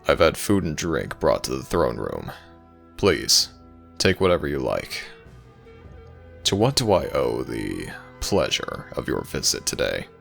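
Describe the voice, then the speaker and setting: A man voicing a stern, weary god of the dead in an ASMR audio roleplay, formal and commanding, with a gravelly voice. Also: extremely low pitch, sing-song, a little slow, fairly bright tone, faint music behind, exaggerated voice. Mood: formal, respectful.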